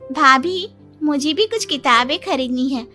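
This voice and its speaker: A woman's voice: high voice, unhurried, sharp tone, faint music behind. A woman voicing a young female student asking casually for a favor.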